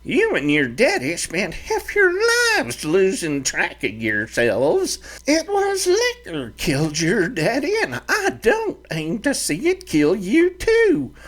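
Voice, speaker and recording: A man voicing a small, fiery-tempered ranch mother who scolds and bosses her grown son, with a sharp, high put-on voice. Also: wildly swooping pitch, slow pace, few pauses, exaggerated voice. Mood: scolding.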